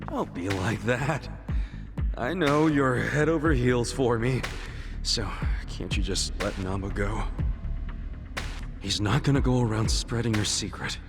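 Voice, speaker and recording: An actor playing an earnest, stubborn, cheeky yakuza hero in an English game dub, casual and plain-spoken. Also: male voice, on the low side, unhurried, over music. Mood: defiant, pleading. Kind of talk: English video game voice acting.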